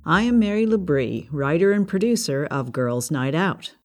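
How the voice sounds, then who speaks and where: A woman's voice: deep voice, expressive, normal speed, darkish tone, faint music behind. A woman hosting a podcast interview, with scripted introductions and short questions. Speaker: podcast host, writer and producer.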